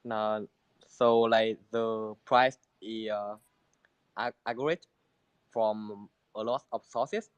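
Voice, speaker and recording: A man from a crypto project answering questions in halting English, restarting his sentences often. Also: on the low side, steady tone, clear, resonant tone, no room sound, highs cut off. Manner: halting non-native English with many restarts. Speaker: crypto project team member.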